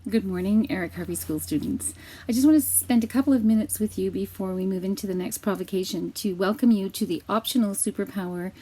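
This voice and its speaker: A woman's voice: medium pitch, a little fast, mellow, husky, poor audio. A woman, giving students an informal welcome message from her home kitchen. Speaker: school staff leader addressing students.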